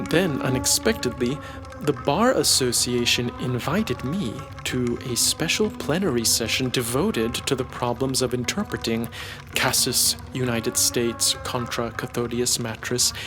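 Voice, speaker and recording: A man reading a satirical literary story as a narrator, in a measured, expressive storytelling manner. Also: medium pitch. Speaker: audiobook narrator. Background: music.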